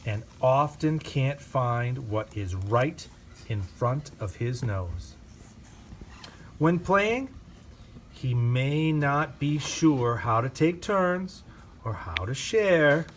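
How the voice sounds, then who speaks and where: A man reading a children's picture book aloud with gentle, expressive storytelling. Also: medium pitch, very slow, a little hiss or hum. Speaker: reader.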